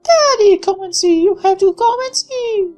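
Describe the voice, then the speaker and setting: A man's voice: very high voice, normal speed, a little mellow, faint music behind, pitched above the performer's own voice. A man voicing a spoiled, gushing young daughter in a visual novel, in a girlish way.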